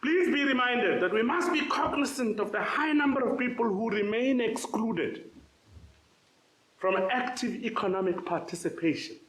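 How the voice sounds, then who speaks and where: A man giving a loud, emphatic conference talk as a social investment practitioner. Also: extremely high pitch, moderate pace, echoey room, slight background noise, poor audio. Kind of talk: conference keynote speech. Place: conference venue.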